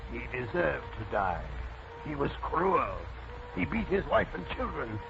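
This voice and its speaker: A man's voice: fairly high pitch, very animated, unhurried, vocal fry, music in the background, full character voice. An actor playing a sinister, coldly commanding voodoo miracle man with solemn, portentous delivery in an old radio drama. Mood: coldly menacing. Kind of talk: 1940s radio acting. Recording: noisy muffled old radio recording.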